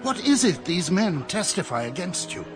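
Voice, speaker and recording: An actor playing an imperious high priest in a dramatized audio Bible, formal and demanding as he interrogates. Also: very animated, moderate pace, music in the background.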